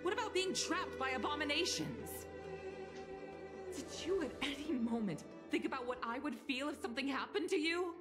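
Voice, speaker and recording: A woman's voice: high voice, lively intonation, normal speed. A woman voicing a stern, protective mother and formal official in a video game drama. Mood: angry, reproachful. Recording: game audio with music behind. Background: music.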